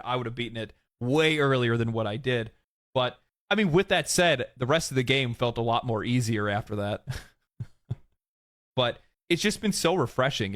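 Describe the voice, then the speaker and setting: A man commenting on video games, opinionated and ranting, his voice hoarse and fading. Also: medium pitch, no room sound, clean studio sound. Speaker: gaming commentator.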